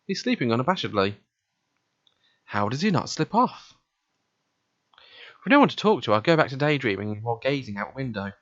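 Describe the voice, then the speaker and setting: A man with a mid-pitched voice hosts a let's play in a casual, slightly halting way. The sound is dull and very dry, like a simple home microphone. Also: sing-song, fairly bright tone, no room sound. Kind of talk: reading visual novel narration aloud, casual commentary.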